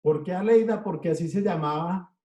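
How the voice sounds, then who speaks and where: A middle-aged Colombian man with a Colombian accent, telling an unhurried story in an interview and listing things in rhythmic runs. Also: sits high, dark tone, dull audio. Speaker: interviewed cartoonist. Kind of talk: relaxed storytelling. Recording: echoey room.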